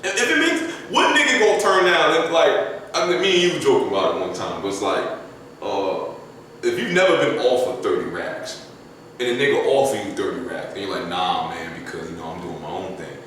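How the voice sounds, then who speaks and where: A young adult man, a rapper guest, talking casually and slangily on a podcast, his voice jumping up and getting loud when he gets animated. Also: fairly quick. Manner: laid-back, slangy, climbs high and loud when animated. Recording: echoey room, distant mic, faint noise.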